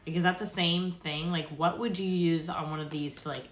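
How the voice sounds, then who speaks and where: A woman's voice: sits low, fairly even intonation, normal speed, faint music behind. A woman hosting a casual read-aloud stream, informal and sweary, stumbling over longer words and dropping in chatty asides. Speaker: host reading aloud to viewers.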